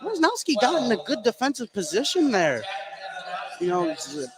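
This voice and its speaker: A man's voice: very high voice, wildly swooping pitch, normal speed, crowd noise. An American man giving informal, explanatory color commentary during a live basketball broadcast. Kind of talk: live sports analysis, conversational. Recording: light gym background noise. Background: gymnasium ambience.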